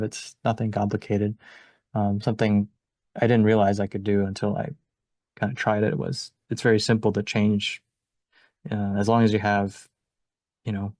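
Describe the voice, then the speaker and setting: A man's voice: lowish voice, vocal fry, darkish tone, no echo. A man casually showing his own work as a workshop participant, in a modest and tentative way. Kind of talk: casual, informal show-and-tell.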